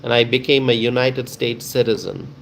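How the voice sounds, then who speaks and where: A man's voice: medium pitch, moderate pace, vocal fry, a little hiss or hum. A man with a Bangladeshi accent hosting a casual live stream, chatting with viewers in a friendly, welcoming way and often repeating himself.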